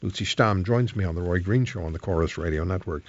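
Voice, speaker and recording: A man from Switzerland hosting a radio talk show, speaking deliberately and emphatically into a close microphone as he explains and asks questions. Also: low-pitched, dry recording, muffled recording. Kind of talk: radio monologue and interview.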